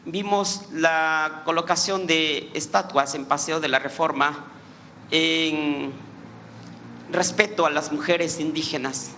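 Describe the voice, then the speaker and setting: A man with a Mexican accent whose first language is Nahuatl, a reporter asking a question at a press conference in a slow, earnest way while switching between two languages. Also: on the high side, pushed and bright, slight background noise.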